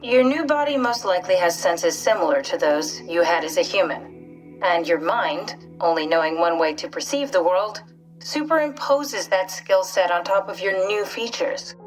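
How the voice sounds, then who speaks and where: A woman's voice: on the low side, expressive, normal speed, soft background music, lightly acted, poor audio. An actress playing a wry, pragmatic woman scientist in a video game, with a matter-of-fact manner. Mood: dry, patient.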